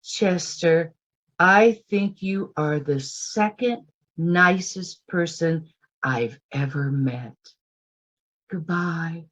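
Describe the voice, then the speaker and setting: A woman's voice: on the low side, very slow, dull audio, a little hiss or hum. An actress playing a prim, emotional frontier woman being courted in a radio western, exclaiming breathlessly and speaking with formal politeness. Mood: excited, fond. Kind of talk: old-time radio western acting.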